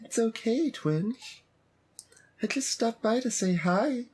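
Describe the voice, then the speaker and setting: A woman voicing a sweet, fragile teenage anime girl in a visual novel, speaking in a halting, earnest way. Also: fairly high pitch, lively intonation, a little mellow, slightly rough, exaggerated voice. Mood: polite, putting on cheer. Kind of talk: visual novel character voice-over.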